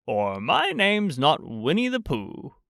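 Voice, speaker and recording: A man's voice: fairly high pitch, wildly swooping pitch, takes it slowly, vocal fry, a bit bright, no echo, clean studio sound, heavily acted. A man voicing a kindly, simple storybook bear who speaks in an earnest, plain way. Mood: pondering, sure.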